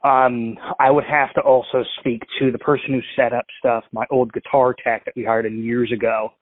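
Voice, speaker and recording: A man's voice: mid-range voice, flat delivery, normal speed, no room sound. Rambling man on a tinny phone line. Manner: rambling, full of fillers and restarts. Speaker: prank caller. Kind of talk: prank phone call.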